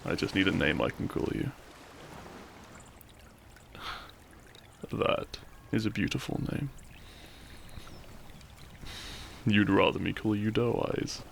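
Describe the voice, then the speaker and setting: A man playing a cheeky, tender and protective young bandit outlaw in an intimate romantic audio roleplay, speaking in a gentle, courtly, teasing way. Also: lowish voice, normal speed, gravelly, slight background noise. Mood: teasing, adoring.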